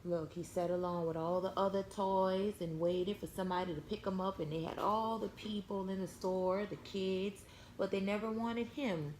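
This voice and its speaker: A woman reading a storybook aloud to young children, expressive, pausing to explain and ask simple questions. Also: mid-range voice. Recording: echoey room with background noise.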